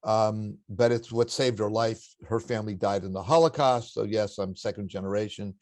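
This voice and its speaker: An older man with a baritone voice, a guest in an interview, telling family stories in a reflective, anecdotal way with occasional chuckles. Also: steady tone, normal speed, dry recording. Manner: warm anecdotal storytelling with chuckles. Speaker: interview guest, spiritual teacher.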